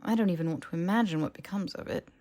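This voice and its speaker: A woman voicing a brisk, bookish, sceptical young woman in an audiobook, explaining and questioning with precision. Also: fairly low pitch, dark tone, lightly acted. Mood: thoughtful, explaining. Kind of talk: audiobook character dialogue.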